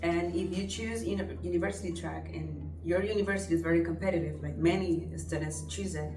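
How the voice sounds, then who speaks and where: A woman's voice: fairly low pitch, steady tone, quick pace, reverberant, far from the mic, poor audio. A young woman from the Dominican Republic, a scholarship student explaining the process earnestly in a casual chat.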